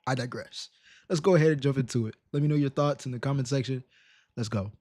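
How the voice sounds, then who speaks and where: A man hosting a casual reaction livestream, slangy and emphatic with rhetorical questions, in a slightly raspy voice. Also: mid-range voice, quick pace, darkish tone, no echo, clean studio sound. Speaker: live stream host reacting to videos.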